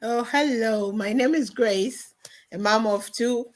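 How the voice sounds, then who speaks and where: Woman, slow unhurried pace, measured, hesitant. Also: a little high. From Ghana. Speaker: panel guest. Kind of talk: live-stream group chat.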